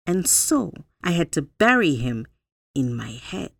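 Folktale spider judge, played by a woman: male, self-important and wise, slow, emphatic, animated pitch. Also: vocal fry, dry recording, heavily acted. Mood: grandly boastful. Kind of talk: folktale character acting.

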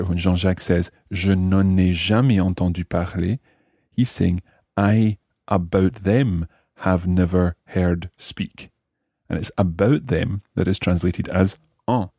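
A man's voice: deep voice, fairly even intonation, slow pace, mellow, slightly rough, dry recording, studio quality. A man hosting a language lesson, explaining grammar methodically and repeating phrases as he teaches. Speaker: language teacher and podcast host.

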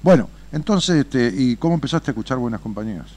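A man's voice: mid-range voice, animated, fast talker, a little mellow. A man, hosting a radio show, telling a parable with dramatic whispers and swells, then chatting with a caller. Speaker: radio host.

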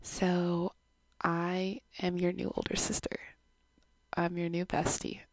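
A woman's voice: on the low side, monotone, slow pace, no room sound. A woman in her twenties from Canada, hosting her own podcast in a chatty, giggly, self-deprecating way, recorded at home on her own microphone. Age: 20s. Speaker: podcast host. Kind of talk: casual chat.

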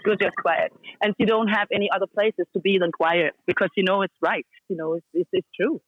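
A woman's voice: medium pitch, steady tone, brisk, no echo. A woman speaking English in non-native grammar as a podcast guest, blunt and emphatic, driving her points home with repeated commands. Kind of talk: casual chat. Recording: dry, dull lo-fi call line.

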